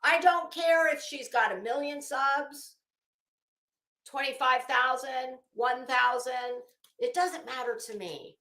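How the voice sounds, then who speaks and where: A woman with a fairly high, very bright and forceful voice delivers an opinionated livestream rant. She is blunt and combative, leaning hard on her words and cursing freely. The room adds a clear echo around her voice. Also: takes it slowly. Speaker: commentary livestream host. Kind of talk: opinionated live commentary, casual rant.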